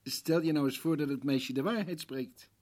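A man playing an earnest, persistent professor in a Dutch radio drama, questioning in a deliberate, rhetorical way with a husky voice. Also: a little high, darkish tone, lightly acted. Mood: earnest, dramatic. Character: probing, compassionate male professor, earnest and persistent. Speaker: actor in a radio play.

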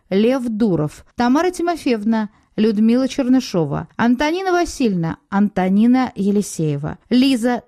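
Mellow, formal woman announcer. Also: medium pitch, no echo, studio quality. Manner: measured, formal. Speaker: radio announcer. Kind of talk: formal scripted credits announcement.

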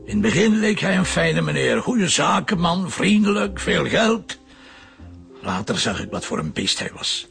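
A man's voice: fairly high pitch, big pitch swings, unhurried, creaky, a bit bright, soft background music, exaggerated voice. An actor playing an old, guilt-ridden and fiercely protective grandfather who has killed, in a radio drama. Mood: ashamed, bitter. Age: older.

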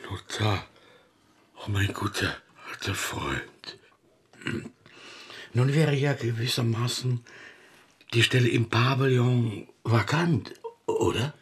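A man's voice: mid-range voice, lively intonation, slow pace, long pauses, husky, heavily acted. An actor in a radio play playing a melancholy, self-pitying old literary man who declaims, rambles and corrects himself. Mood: stricken, wistful. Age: older. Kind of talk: German radio play acting.

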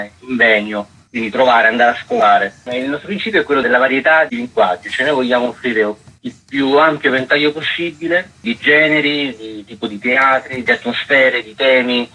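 Guy explaining stuff in an interview, bright forceful voice, pretty husky. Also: mid-range voice, poor audio. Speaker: theatre festival artistic director. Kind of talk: explanatory radio interview answers.

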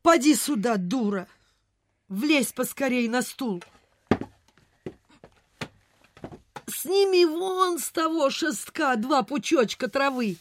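A woman's voice: sits high, expressive, slow pace, a little hiss or hum. An actress playing a kindly, anxious provincial landlady in a radio play, sighing and fretful, with old-fashioned speech. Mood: bossy. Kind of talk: Soviet radio play acting.

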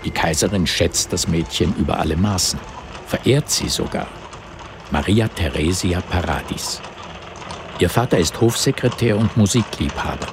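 A man's voice: low voice, normal speed, noisy background. A man narrating a literary radio drama in scripted, present-tense prose.

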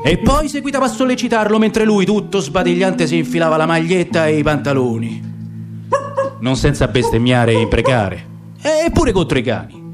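A man's voice: on the high side, moderate pace, over music. A man giving a dramatic, theatrical reading of literary prose as the narrator of a radio theatre piece. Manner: expressive, theatrical storytelling.

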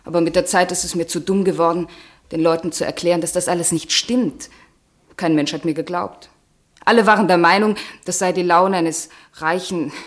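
An actress playing a poor young American woman taken for an heiress, speaking with composed, gently teasing wryness in a radio drama. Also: on the low side, lightly acted. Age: young adult.

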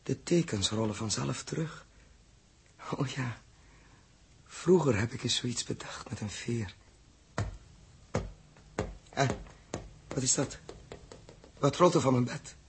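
A man's voice: medium pitch, slow, vocal fry, long pauses, a little hiss or hum. An actor playing a weary, bewildered and anxious man in a 1960s Dutch radio play, speaking hesitantly and repeating himself. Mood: dazed, agitated. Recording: 1961 radio recording, music behind.

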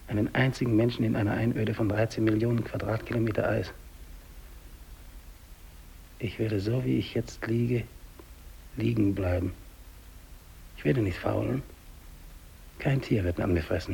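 An actor playing a dying, despairing English polar explorer alone, brooding and halting, in a 1950s German radio drama monologue. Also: male voice, fairly low pitch, a little hiss or hum, rough recording. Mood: bleak, brooding. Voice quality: gravelly, husky. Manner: halting, brooding, repeats words, hushed to declamatory.

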